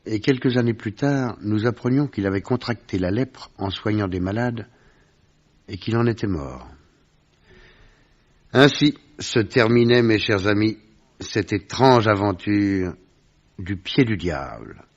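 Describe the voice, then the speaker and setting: Gentleman narrator, formal radio drama storytelling, measured, lowish pitch, muffled lo-fi recording. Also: lively intonation. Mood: reflective. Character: gentleman storyteller recalling an adventure to friends. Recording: dull, lo-fi old radio recording.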